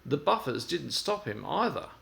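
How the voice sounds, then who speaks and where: A man reading a children's story aloud in an expressive storytelling style. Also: medium pitch, normal speed, creaky.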